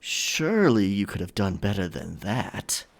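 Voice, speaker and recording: A man's voice: fairly low pitch, very animated. A man playing a mischievous, taunting pirate captor in an audio drama, smug and playful. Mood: smug, teasing. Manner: slow, teasing, smug.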